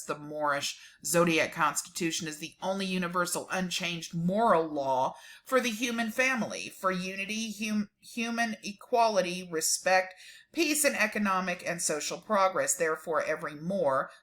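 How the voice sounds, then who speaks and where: A woman giving a dramatic, deliberate reading of a document, with emphatic delivery and casual sardonic asides. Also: fairly low pitch, a bit bright.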